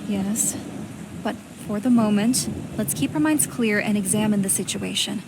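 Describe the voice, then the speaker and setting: An actress playing a stern, composed investigative reporter in a video game, with a controlled, measured, admonishing delivery. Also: female voice, mid-range voice, creaky, heavy background noise, natural voice. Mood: composed, grave. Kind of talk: dramatic game voice acting.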